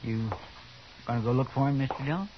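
A man's voice: medium pitch, fast, noisy background, natural voice. Man acting a folksy Old West sidekick, muffled recording. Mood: puzzled, deferential. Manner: folksy, respectful, hesitant. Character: folksy, deferential, eager male deputy sidekick in Old West. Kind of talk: 1950s radio western acting. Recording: dull 1950s radio recording.

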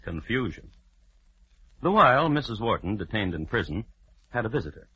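A man's voice: fairly low pitch, very animated, moderate pace, pushed and bright. A man narrating an old-time radio crime drama with formal diction and dry irony. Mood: wry, measured. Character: formal, drily ironic narrator of a true-crime story. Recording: muffled, noisy old radio recording.

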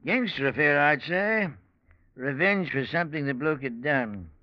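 An actor playing a brisk, dry police inspector in 1940s radio drama style, matter-of-fact and probing. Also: male voice, a little high, lively intonation, dry recording. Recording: dull, dry vintage radio recording.

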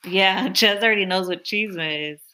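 A woman's voice: medium pitch, moderate pace, bright, forceful tone. A young woman in casual chat with her partner on a podcast, talking freely, laughing often and complaining playfully. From Mexican-American family. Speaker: podcast co-host.

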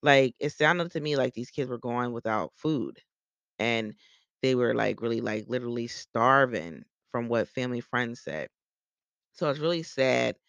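A male podcast host with a gravelly, creaky voice, recorded close and dry with no room sound. He talks bluntly and opinionatedly, swearing freely and circling back through restarts as he rambles. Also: mid-range voice, a little slow, fairly bright tone, dry recording. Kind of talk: casual gossip commentary.

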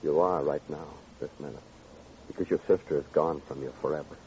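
An actor playing a somber, world-weary New York police detective, grave and dramatic, in a vintage radio noir drama. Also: male voice, extremely low pitch, fairly even intonation, brisk, a little mellow, slight background noise. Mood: probing, accusing. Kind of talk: 1940s-50s radio noir acting and narration. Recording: dull old radio recording. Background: dramatic music.

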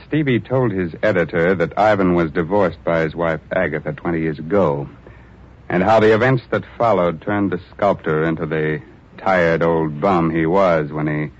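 A man hosts an old radio mystery program. His voice is very deep, with a droll, deliberate delivery. The recording is dull and muffled. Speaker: host of radio mystery show. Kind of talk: 1940s radio hosting.

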